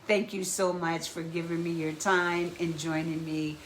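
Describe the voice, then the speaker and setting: Therapist lady on a live feed, slow n calm, bit stuffy from asthma. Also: lowish voice, a little hiss or hum. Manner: gentle, reassuring, guides breathing exercises. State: slightly congested from asthma. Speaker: therapist and host of a live talk. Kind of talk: slow, caring live-stream talk. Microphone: phone or webcam live feed.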